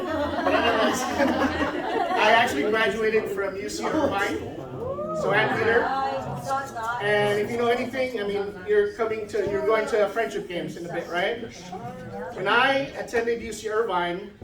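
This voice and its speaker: A man's voice: sits high, measured pace, over a noisy crowd. A middle-aged man from the Philippines giving an informal talk to a student club, often checking in with "right?". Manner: conversational, often asks "right?". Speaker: guest speaker. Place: event room. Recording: echoey room, mic from far off.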